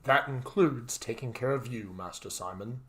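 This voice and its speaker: Story role, read by a man: loyal butler, polite and composed, formal measured delivery. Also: medium pitch, close to an everyday voice. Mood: dutiful, calm. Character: devoted, formal male butler, calm and dutiful.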